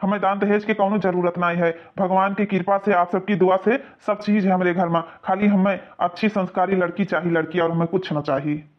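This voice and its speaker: A man's voice: high voice, level tone, a little fast, few pauses, lightly acted. A man voicing a proud, reassuring father of the groom with an Awadhi accent in a cartoon. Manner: reassuring, boastful. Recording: muffled lo-fi sound.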